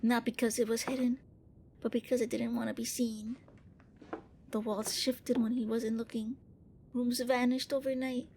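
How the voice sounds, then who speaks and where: A woman playing a gentle, bookish young vampire prince in an ASMR roleplay, speaking with hesitant, earnest courtesy. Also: fairly high pitch, little pitch movement, moderate pace, long pauses, a little hiss or hum, natural voice. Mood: storytelling. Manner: hesitant, politely fussy, earnest.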